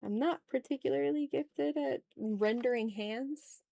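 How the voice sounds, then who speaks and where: A woman with a fairly high voice, recorded very dry and close. She chats informally like a livestream host absorbed in drawing, muttering self-critically to herself and to her viewers. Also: measured pace, gravelly, warm tone, no echo. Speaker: streamer hosting an art livestream. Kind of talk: casual chat while drawing.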